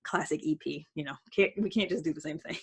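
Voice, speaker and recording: A woman's voice: low-pitched, a little fast, gravelly, husky. A woman vlogger explaining things casually and unscripted, in a rambling conversational way with many hedges. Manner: conversational, rambling, hedging with "like" and "sort of". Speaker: video blogger on personality typing.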